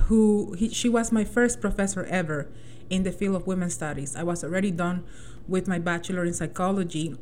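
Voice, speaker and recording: A woman's voice: medium pitch, darkish tone, slight background noise. Earnest woman radio host from El Salvador. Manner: earnest, reflective, with many restarts and "right?" tags. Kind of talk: personal reflective radio talk.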